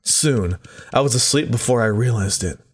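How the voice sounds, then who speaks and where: A man's voice: mid-range voice, moderate pace, slightly rough. A man doing a let's play, dramatically reading visual novel text aloud and breaking off for joking asides. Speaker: gaming video host.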